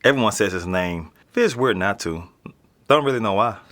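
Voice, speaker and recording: A man's voice: lowish voice, lively intonation, moderate pace, a bit bright. A young man with an American accent playing a casual, slangy, streetwise teenage boy being interviewed in a naturalistic audio drama. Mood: matter-of-fact. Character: nonchalant streetwise teenage boy living in an apartment building.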